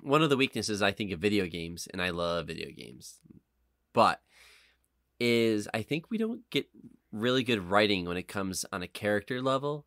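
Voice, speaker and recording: A man co-hosting a podcast in casual, opinionated chat, emphatic, rambling and quick to swear. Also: on the low side, a bit bright, no room sound, clean studio sound. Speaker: podcast co-host. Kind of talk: casual chat, opinionated film and game discussion.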